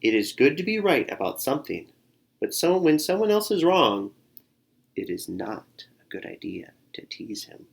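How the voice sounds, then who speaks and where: Soft-spoken elderly grandmother squirrel, kindly and wise, voiced by the narrator. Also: mid-range voice, sing-song, moderate pace, vocal fry. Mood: gentle.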